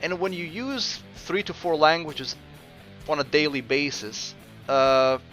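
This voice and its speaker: A man from Croatia with a mixed Croatian accent, chatting casually while streaming a game and answering viewers, with frequent pauses. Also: fairly high pitch, fairly bright tone, faint music behind. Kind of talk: casual gameplay commentary.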